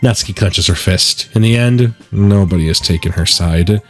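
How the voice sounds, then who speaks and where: A man's voice: sits low, moderate pace, faint music behind. A man hosting a gaming video, giving conversational, opinionated let's play commentary and reading out narration. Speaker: gaming video host and musician.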